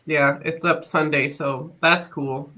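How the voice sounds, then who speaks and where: A woman with a bright, sharp-edged voice, chatting as a podcast co-host. She stays laid-back, keeps her answers short and laughs easily. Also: sits low, fairly even intonation, slow. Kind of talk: casual chat.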